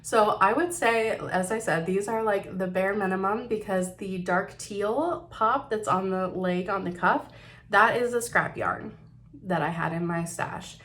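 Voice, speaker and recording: A woman's voice: mid-range voice, measured pace, a bit bright. Nasal woman getting over a cold. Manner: chatty and upbeat, with fillers and slips. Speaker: knitting podcast host. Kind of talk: casual chat about knitting projects.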